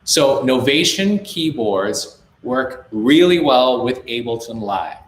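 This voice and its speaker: A man's voice: medium pitch, slow, roomy sound, a little hiss or hum. A young man from India with an American accent, hosting a casual live chat from his home music studio and giving friendly gear advice. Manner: relaxed, reads viewer comments aloud, then gives friendly gear advice. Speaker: livestream host, music producer.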